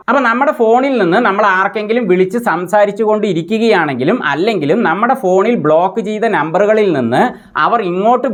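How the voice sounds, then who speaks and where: A man presenting a tech tutorial, explaining each step in a methodical, instructional way. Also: high-pitched.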